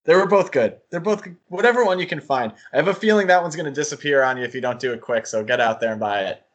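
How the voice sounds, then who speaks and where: A man's voice: on the high side, fast. A man hosting a podcast in a casual, conversational chat style. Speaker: podcast host.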